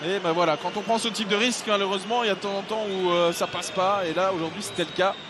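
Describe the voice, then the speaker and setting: Excitable male football commentator, high voice. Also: fast talker. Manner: rises to shouting at goals, calm analysis between. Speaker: play-by-play commentator. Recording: loud stadium noise behind.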